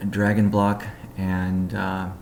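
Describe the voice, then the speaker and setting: A man with a low, creaky voice delivers a technical business update on a conference call. His delivery is measured and halting, with little melody. The sound is roomy and echoey. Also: monotone, a little mellow. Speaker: company executive giving update. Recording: echoey room, faint background noise.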